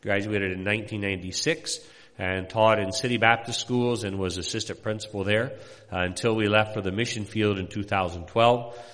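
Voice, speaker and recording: Middle-aged preacher guy from indiana, lowish voice, lots of uhs, rambly and folksy, big echoey church hall. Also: steady tone, a bit bright. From Indiana, USA. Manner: folksy, rambling, many "uh" pauses. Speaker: missionary pastor. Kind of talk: sermon introduction to a congregation.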